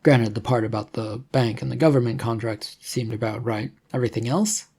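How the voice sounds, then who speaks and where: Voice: mid-range voice, a little fast, darkish tone, slightly rough. A narrator reading a scripted adventure story in the manner of an audiobook.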